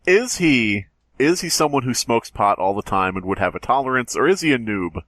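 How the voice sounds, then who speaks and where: A man's voice: mid-range voice, expressive, moderate pace, a bit bright, dry recording. Sardonic man bantering on a podcast, dry sound. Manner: jokey, sardonic, profane. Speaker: podcast co-host. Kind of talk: casual banter while reading a horror story aloud.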